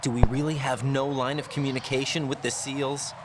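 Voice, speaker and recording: An actor playing an earnest young special agent in a video game, speaking in clipped, questioning lines. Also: male voice, medium pitch, little pitch movement, brisk, noisy background, lightly acted. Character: young male special agent, earnest rookie, over radio. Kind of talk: scripted video game voice acting.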